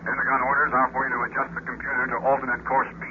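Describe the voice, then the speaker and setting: An actor playing a steady, businesslike military colonel at mission control in a 1950s radio drama, clipped and official. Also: male voice, mid-range voice, very fast, close to an everyday voice. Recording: dull, noisy 1950s radio recording.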